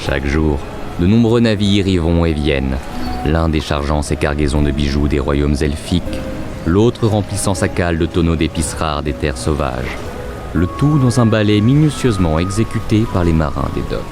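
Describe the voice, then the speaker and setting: Very deep male storyteller over music. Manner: measured, literary storytelling. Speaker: narrator. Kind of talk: scripted fantasy story narration.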